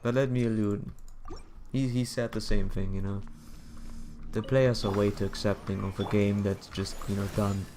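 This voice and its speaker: A man with a fairly low voice chats casually while streaming a game. He muses and gives opinions, circling back on his words. Clear noise sits behind him. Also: steady tone, normal speed, warm tone. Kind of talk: casual rambling commentary while gaming.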